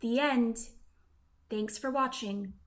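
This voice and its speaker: Slow, high-voiced woman reading stories. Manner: measured, expressive storytelling. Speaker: picture-book narrator. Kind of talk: scripted rhyming story read-aloud for children.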